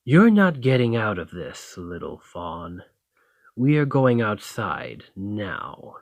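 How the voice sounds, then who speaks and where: A man's voice: on the low side, very animated, slow pace, gravelly, dry recording, exaggerated voice. A man playing a dominant, teasing fantasy thane in an ASMR roleplay, commanding and flirtatious. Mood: challenging, playful. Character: dominant Nordic fantasy thane, teasing, confident warrior lord. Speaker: roleplay audio performer. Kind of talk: ASMR fantasy roleplay acting, addressing the listener.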